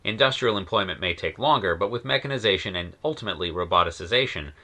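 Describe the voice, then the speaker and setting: A man's voice: sits low, animated, fast talker, pushed and bright. A man narrating a scripted review of old science fiction stories, wry and enthusiastic with sardonic asides. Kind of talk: scripted narration in a period persona.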